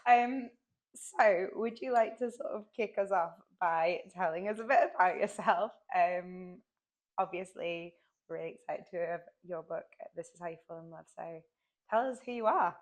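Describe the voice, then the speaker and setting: A woman, interviewing an author in a relaxed, friendly chat. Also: lowish voice, moderate pace. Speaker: interviewer for a publisher.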